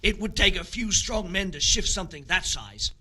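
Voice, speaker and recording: A sceptical, practical foreman in a medieval video game. His voice is fairly high and very sharp, with a forceful edge. He sounds like someone tired of trouble on the job. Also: sing-song, normal speed, slightly rough, heavily acted. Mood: sceptical, defensive. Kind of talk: video game drama acting.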